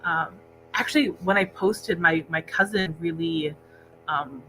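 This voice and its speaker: Bright-voiced woman chatting casually about books. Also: on the low side, a little slow, slight background noise, poor audio. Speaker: co-host of a book discussion livestream. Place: indoor library room, speaking into a laptop set-up.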